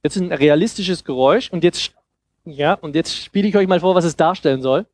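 A man in his thirties giving an informal, explanatory workshop talk into a headset mic, often halting and repeating words. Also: a little high, no room sound. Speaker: speaker giving a talk on sound design.

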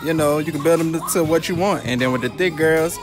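A man's voice: fairly high pitch, quick pace, over music. A young man working as a store assistant, answering a vlogger's question in a laid-back, confident way in a shoe store.

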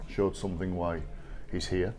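A middle-aged English man speaks in a very low, mellow voice. He answers press questions in a measured, halting way, with plenty of fillers and restarts. A faint hiss sits under his voice in a small press room. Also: flat delivery. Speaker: rugby league head coach. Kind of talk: post-match press conference answers. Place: small press room with sponsor backdrop, seated at table. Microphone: table microphone.

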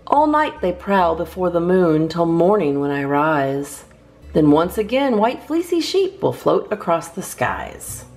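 A woman's voice: fairly low pitch, sing-song, a little slow, a little mellow, soft background music. A woman hosting a storytime, reading children's poems aloud expressively with theatrical emphasis. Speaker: storytime host reading a picture book.

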